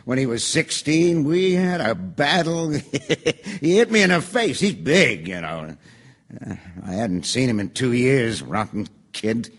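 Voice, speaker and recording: A man's voice: medium pitch, lively intonation, unhurried. A man playing a bitter, pushy middle-aged father serving on a jury, in an audio theatre drama.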